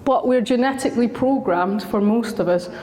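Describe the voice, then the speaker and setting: A woman's voice: a little high, moderate pace, warm tone, a little hiss or hum. A Scottish woman working as a school counsellor, giving a friendly, chatty talk to parents with a slightly sore throat. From Scotland. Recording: echoey hall recording.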